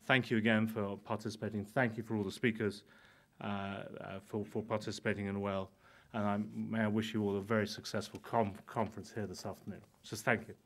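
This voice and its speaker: A man's voice: on the low side, level tone. A man in his forties welcoming a conference audience from a lectern, stumbling often over his words and restarting. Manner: halting, many stammered restarts. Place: conference hall, indoors. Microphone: lectern mic.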